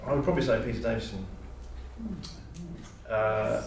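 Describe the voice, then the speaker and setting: A man's voice: on the low side, fairly even intonation, normal speed, vocal fry. A man speaking as an auditioning actor, recorded in a room. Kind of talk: audition monologue, pitch and casual interview. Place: echoey university room. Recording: very echoey room, distant mic, faint noise.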